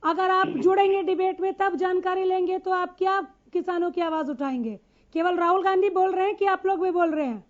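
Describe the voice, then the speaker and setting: A woman's voice: very high voice, steady tone, brisk. A woman hosting a live TV news debate, pressing guests with insistent, challenging questions and cutting in. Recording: dull lo-fi broadcast audio.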